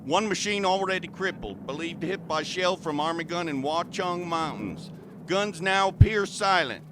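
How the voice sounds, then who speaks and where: A man's voice: high voice, lively intonation, moderate pace, background noise. A man playing a clipped bomber lieutenant. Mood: terse. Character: male bomber pilot lieutenant radioing terse report. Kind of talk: amateur radio drama.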